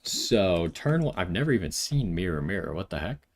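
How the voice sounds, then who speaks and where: Low-voiced man commentating his gameplay. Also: big pitch swings, normal speed. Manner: thinks aloud while deciding. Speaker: gaming video host.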